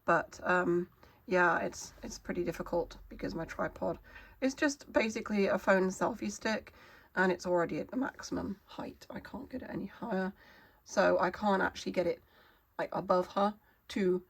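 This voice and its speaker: Hoarse, wheezy woman vlogger with a cold; halting, apologetic, chatty explainer. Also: medium pitch. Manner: halting, apologetic, many fillers and restarts. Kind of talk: casual product explainer.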